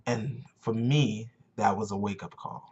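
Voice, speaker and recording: A man's voice: fairly low pitch, measured pace, a little mellow, slightly rough. Earnest young man, lo-fi recording. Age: 20s. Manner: earnest, searching, repeats phrases for emphasis. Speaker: vlogger. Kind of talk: motivational talk to camera.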